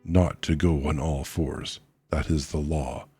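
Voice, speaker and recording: A man voicing a hunched male beast-man who slowly intones laws in a thick, sing-song voice for a storybook reading. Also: rumbling low, lively intonation, vocal fry, dark tone, faint music behind, heavily acted. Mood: commanding. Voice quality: thick voice with whistling overtone. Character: hunched male beast-man chanting law, solemn, menacing.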